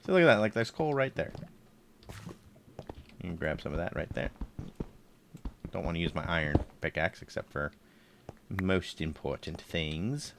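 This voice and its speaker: A man with a low, slightly congested voice chatting casually while playing a game on stream and thinking aloud. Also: expressive, moderate pace, vocal fry, pauses often, a bit bright, slight background noise. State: congested, throat tickle. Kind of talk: casual live gameplay commentary.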